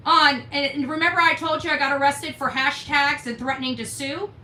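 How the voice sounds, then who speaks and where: A woman's voice: sits high, steady tone, a little fast, pushed and bright. A woman streaming to her audience, indignant, combative and emphatic as she reads documents aloud and comments on them. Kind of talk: livestream rant, reading documents aloud with commentary. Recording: roomy echo, distant mic, faint noise.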